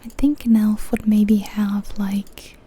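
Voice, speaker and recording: A woman whispering softly and breathily in an ASMR style, gently musing aloud as she comments on a video game. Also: mid-range voice, level tone, slow, husky.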